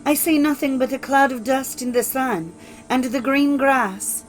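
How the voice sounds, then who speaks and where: A woman's voice: high-pitched, measured pace, soft background music, voice pushed up, low-quality recording. A woman voicing an anxious young sister keeping lookout in a fairy tale, calling out her words. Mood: dismayed.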